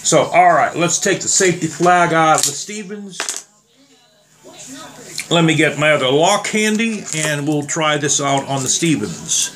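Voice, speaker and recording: A slightly hoarse man talking casually and rambling as he tests trigger locks on guns for his viewers. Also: on the high side, lively intonation, unhurried, a bit bright. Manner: rambling, plain-spoken, addresses viewers as guys. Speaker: gun reviewer. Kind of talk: casual demo vlog.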